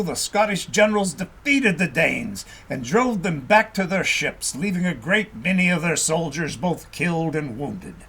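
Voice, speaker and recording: A man reading a story aloud as scripted narration, in a dramatic, expressive storytelling manner. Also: high voice, slightly rough. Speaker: audiobook reader.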